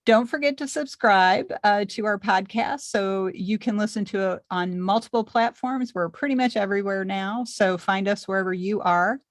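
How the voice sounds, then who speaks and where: A woman hosting a podcast interview in a casual chat, with a soft voice and frequent pauses as she speaks. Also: mid-range voice, no room sound, studio quality. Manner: pauses often while speaking. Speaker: podcast host.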